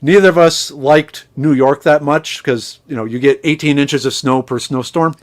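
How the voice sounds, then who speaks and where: A middle-aged man from Minnesota with a slightly high voice, talking as a podcast guest. He tells rambling stories and starts his sentences over often. He sounds like he is on a remote call. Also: moderate pace. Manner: chatty storyteller with frequent restarts. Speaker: podcast guest, chemist and foraging instructor. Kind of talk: casual podcast conversation.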